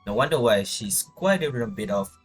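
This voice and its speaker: A man live-streaming a video game, reading on-screen text aloud and casually thinking out loud as he plays. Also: mid-range voice, expressive, moderate pace, faint music behind.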